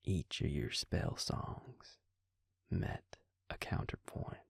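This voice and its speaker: A man playing a patient, grave vampire in an intimate audio roleplay, speaking husky, breathy and low, with hesitant, deliberate pauses. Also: no echo, clean studio sound, lightly acted. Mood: grave, explaining. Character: male vampire, patient, grave, quietly explaining lore.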